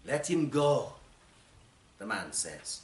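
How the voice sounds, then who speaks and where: A man reading a short story aloud at a live event, with measured, deliberate, dramatic phrasing. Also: mid-range voice, sing-song. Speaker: actor and audiobook reader. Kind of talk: literary story reading, scripted narration. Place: performance space in a library, live event. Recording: roomy live recording with faint noise.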